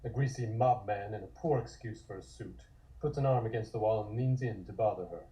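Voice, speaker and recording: A man's voice: on the low side, brisk, warm tone, low-quality recording. A man reading scripted scene narration for a comic table read.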